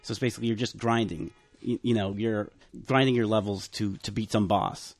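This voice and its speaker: A man chatting casually in a group, halting and full of fillers and restarts, his voice going hoarse. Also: fairly low pitch, no room sound. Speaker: podcast panelist. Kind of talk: casual group chat about video games.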